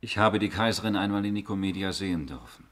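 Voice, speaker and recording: A man's voice: sits low, fast talker, close to an everyday voice. A man playing a deferential servant of an emperor in a 1950s radio drama, formal and reverent.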